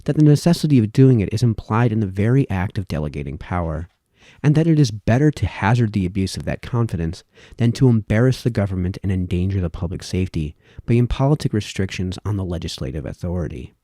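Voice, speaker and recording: A man reading formal historical essays aloud, with measured, emphatic phrasing that falls away at sentence ends. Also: fairly low pitch, expressive, warm tone, no room sound, clean studio sound.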